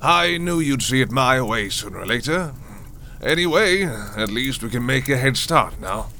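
A man's voice: mid-range voice, animated, normal speed, gravelly, pushed and bright, slight background noise. An actor playing a genial, eager old man, a father obsessed with his mine, in a radio crime drama. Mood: pleased, eager. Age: older.

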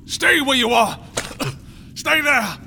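An actor playing a menacing, obsessed old miner with a gravelly voice in a radio drama. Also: male voice, very high-pitched, big pitch swings, takes it slowly, pushed and bright, slight background noise, heavily acted. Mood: desperate defiance. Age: older. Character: menacing, obsessed old male Cornish-mine miner, half mad.

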